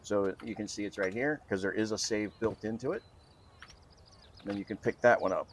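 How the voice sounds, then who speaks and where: Low-voiced man giving an informal software walkthrough. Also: slight background noise. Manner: informal, halting step-by-step instruction. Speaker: software tutorial presenter. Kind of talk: unscripted screen-recorded walkthrough.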